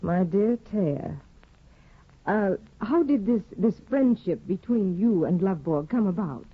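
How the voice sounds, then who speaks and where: A woman's voice: medium pitch, expressive, measured pace, husky, dry recording. A woman playing a proud, bored aristocratic young wife in a 1950s radio drama, cool and mocking. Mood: teasing. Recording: tinny old radio broadcast.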